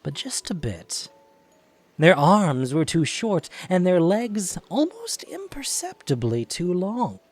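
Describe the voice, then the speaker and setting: A man narrating an audio drama in a deliberate, expressive, dramatic storytelling style. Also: high-pitched.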